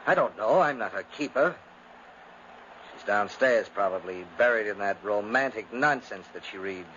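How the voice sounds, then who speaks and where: Controlling, uneasy gentleman brother: stern, curt, theatrical vintage radio acting, muffled with faint noise. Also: fairly low pitch, expressive, moderate pace. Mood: impatient. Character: controlling, impatient, uneasy aristocratic twin brother. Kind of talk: 1950s radio drama acting.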